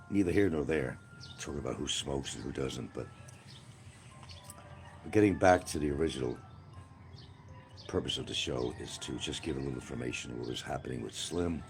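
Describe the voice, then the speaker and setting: A man hosting a rambling livestream outdoors by a field, answering comments in a blunt, combative way. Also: very deep voice. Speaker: livestream host.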